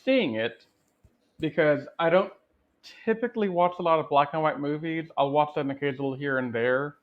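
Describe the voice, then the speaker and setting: A man's voice: on the high side, normal speed. A man co-hosting a casual film chat, speaking in a reflective, appreciative and conversational way. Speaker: podcast co-host. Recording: dull, lo-fi microphone sound.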